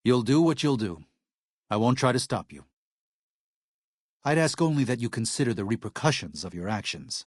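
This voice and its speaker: A man's voice: mid-range voice, very animated, normal speed, pauses often, no echo, clean studio sound. An actor playing a devout, weary missionary man in a video game, speaking with earnest gravity. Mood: weary, regretful. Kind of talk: video game character dialogue.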